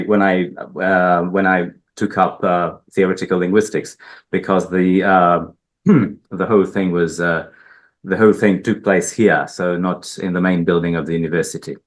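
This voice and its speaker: A man from Hungary, a linguist answering interview questions, talking hesitantly with many fillers and restarts. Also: low voice. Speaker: linguist guest. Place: research institute building.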